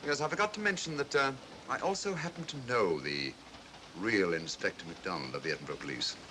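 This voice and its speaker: Over a noisy vintage soundtrack comes a man playing a brilliant, self-assured detective. He explains things urbanely and wryly, in quick speech with a lively, swooping pitch. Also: lightly acted. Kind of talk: 1940s film mystery acting. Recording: noisy old film soundtrack.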